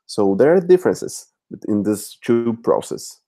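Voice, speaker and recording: A man's voice: on the low side, big pitch swings, unhurried, mellow. A man from Brazil with a Brazilian Portuguese accent, a native Portuguese speaker, talking as a guest language teacher in a casual live chat over a video call, often searching for words. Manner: searching for words, frequent restarts.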